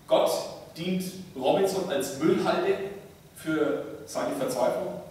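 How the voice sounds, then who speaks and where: A man preaching a sermon as a pastor, in measured, deliberate phrases with pauses. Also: fairly high pitch, a little mellow. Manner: measured, deliberate, pausing between short phrases. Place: large church interior, speaking from a pulpit. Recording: echoey church, distant mic, faint noise.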